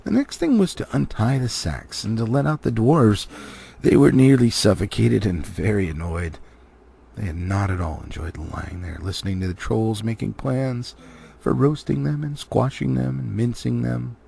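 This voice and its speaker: A man's voice: fairly low pitch, moderate pace, gravelly, warm tone, a little hiss or hum. A man narrating a storybook expressively as an audiobook reader.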